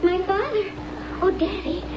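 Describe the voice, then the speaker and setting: An actress playing a fragile, distraught young wife in a vintage radio drama, fearful and stubborn, with a childlike pleading manner. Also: female voice, very high-pitched, unhurried. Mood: guilty, tearful. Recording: dull old radio broadcast, faint noise.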